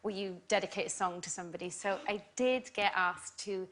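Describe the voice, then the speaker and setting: A young woman with a Welsh accent, chatting as a guest on a talk show, laughing easily and filling pauses with ums. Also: medium pitch, fairly bright tone. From Wales. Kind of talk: casual chat. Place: TV studio with audience.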